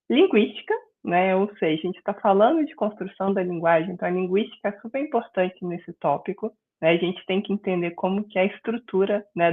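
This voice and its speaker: A middle-aged woman from Minas Gerais with a Mineiro accent, teaching an online class in a friendly, explanatory way and filling pauses with "né". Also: mid-range voice. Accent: Mineiro Brazilian Portuguese. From Minas Gerais, Brazil. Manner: friendly, explanatory, frequent "né" and "é" fillers. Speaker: guest instructor. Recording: tinny phone-like online call audio.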